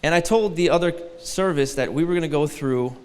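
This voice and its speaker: A man's voice: a little high, brisk, smooth, big-room sound. A man in his forties from Detroit, a guest preacher giving a sermon with a light, thin voice and a joking, self-deprecating manner. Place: large church hall.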